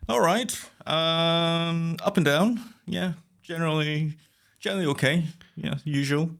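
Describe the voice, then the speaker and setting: A Scottish-born man being interviewed as a guest, talking in a hesitant way, repeating words and trailing off quietly. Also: fairly high pitch, a little slow, vocal fry. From Scotland. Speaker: guest architect. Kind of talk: conversational interview.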